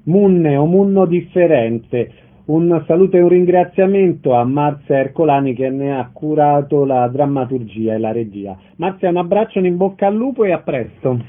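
A man's voice: fairly high pitch, animated, moderate pace. A man hosting a radio show, asking for web links and closing with thanks and good wishes. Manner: warm sign-off, thanks the guest. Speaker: radio host. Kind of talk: radio interview sign-off. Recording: thin phone-like radio sound.

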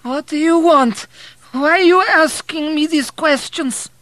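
A man's voice: very high-pitched, unhurried, sharp tone, no echo, pitched above the performer's own voice, full character voice. A man narrating an audiobook, voicing a querulous, whining, frail old woman with a thin, cracked, quavering voice. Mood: querulous, suspicious. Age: elderly. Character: querulous, toothless, frail old Polish peasant woman, suspicious.

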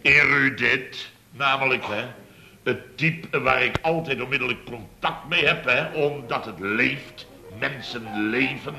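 A man's voice: medium pitch, a little slow, creaky, bright, forceful tone, soft background music, exaggerated voice. A man playing a pompous, blustering middle-aged boss in a Dutch radio comedy serial, stammering and repeating himself. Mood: pompous, enthusing. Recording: slightly noisy vintage radio recording.

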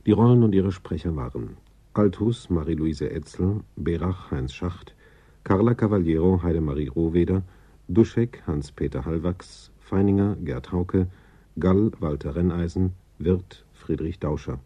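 A man reading a scripted radio credits announcement in a husky, dark voice. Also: sits low, lively intonation, unhurried, highs cut off.

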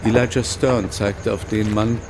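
A man's voice: lowish voice, flat delivery, a little slow, noisy background. An actor playing a reflective novelist confiding his memories in a German radio drama. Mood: narrating.

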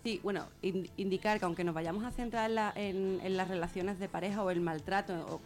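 A woman's voice: mid-range voice, steady tone, a little fast, a little mellow, faint music behind. A woman with an accent from Spain explains things in a radio discussion, earnestly and with frequent rephrasing. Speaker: guest speaker on gender violence.

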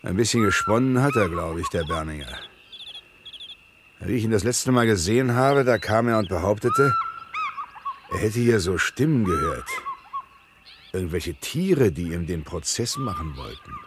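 Radio drama role: musing, casually regretful man, deep pitch, clearly noisy vintage recording with animal sounds. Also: big pitch swings, moderate pace, pauses often. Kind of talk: German science-fiction radio play acting.

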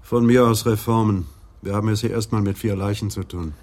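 A male actor plays a stubborn police adjutant in a radio crime drama. He has a fairly low voice and a sceptical, insistent way of making his points. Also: fairly even intonation, fairly quick, a little mellow, natural voice. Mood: sarcastic, sceptical. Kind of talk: German radio play acting, office dialogue.

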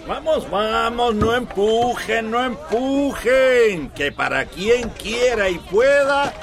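An actor playing a jovial, grasping farmer who peddles water, in a radio soap opera. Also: male voice, extremely high pitch, lively intonation, slow pace, exaggerated voice. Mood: jovial hawking. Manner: boisterous hawker with frequent hearty laughter. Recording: street bustle with crowd and vehicles.

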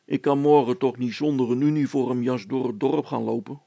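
A reluctant village policeman from a storybook, played by a male reader. He grumbles and frets in a hesitant, plaintive way. The voice is husky and gravelly. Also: mid-range voice, steady tone, moderate pace, warm tone, no echo. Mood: worried.

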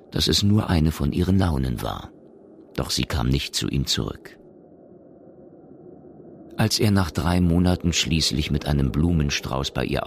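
A man's voice: very deep voice, gravelly, slight background noise. A man narrating a science fiction radio play in German as a scripted storyteller.

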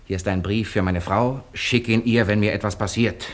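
A man's voice: fairly low pitch, steady tone, normal speed, lightly acted. Actor as bossy, mocking male director. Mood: coaxing, fed up. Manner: commanding, sarcastic, stop-and-start coaxing. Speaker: director character in a radio play. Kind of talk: German radio drama acting.

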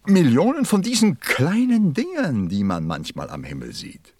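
An actor playing a busy, self-important middle-aged businessman in a radio play, with a gravelly, husky voice and a testy, muttering manner. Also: a little high, huge pitch swings, normal speed, darkish tone, dry recording. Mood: irritated, pompous. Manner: pompous, testy, muttering, swooping. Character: busy, self-important 54-year-old businessman counting stars.

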